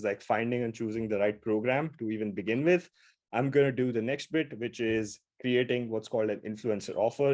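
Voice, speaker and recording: A man's voice: mid-range voice, darkish tone. A man with an Indian accent from India, giving an upbeat, casual webinar presentation over a video call. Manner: upbeat, quick and casual, with frequent fillers.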